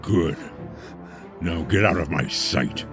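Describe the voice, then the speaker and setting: A man's voice: deep voice, slow pace, loud music behind the voice. An actor playing a vain, paranoid tyrant king in a fantasy audio drama, pompous and threatening. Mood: furious.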